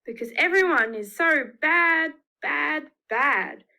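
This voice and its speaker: A woman's voice: high voice, lively intonation, creaky, pushed and bright, pitched above the performer's own voice, heavily acted. A woman voicing a sorrowful but resolute God in a children's picture book, repeating words with emphasis. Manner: slow, emphatic word repetition.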